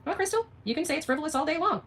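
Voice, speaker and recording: A woman's voice: sits high, lively intonation, racing. A woman hosting an unscripted livestream rant, arguing with viewers in a combative, emphatic way, repeating phrases and firing off rhetorical questions. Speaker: livestream host responding to viewer comments.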